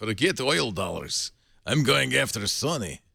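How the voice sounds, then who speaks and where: A man's voice: mid-range voice, unhurried, gravelly, breathy edge, dry recording, studio quality. A middle-aged man hosting a casual tech talk show, joking and laughing often. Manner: jokey, laughs often, animated delivery. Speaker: host.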